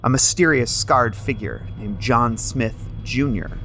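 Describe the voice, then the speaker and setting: A man narrating a scripted audio drama in a deliberate, descriptive manner. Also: mid-range voice, sharp tone, a little hiss or hum, rough recording.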